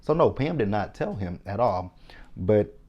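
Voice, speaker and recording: Sassy low-voiced Texan man recapping TV. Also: lively intonation, a little slow, lo-fi sound. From Texas. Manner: chatty, sassy, opinionated, talking straight to viewers. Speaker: TV recap host. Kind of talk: casual TV episode recap.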